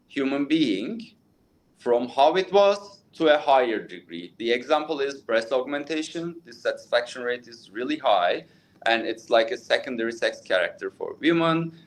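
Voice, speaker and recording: A man in his thirties with a Turkish accent, a plastic surgeon answering interview questions in English at length, with many fillers and restarts. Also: medium pitch. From Turkey.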